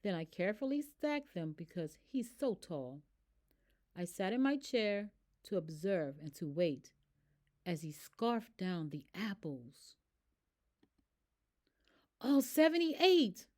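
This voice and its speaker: A woman reading a rhyming children's story aloud, expressive and emphatic as a storyteller. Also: fairly low pitch, a little slow.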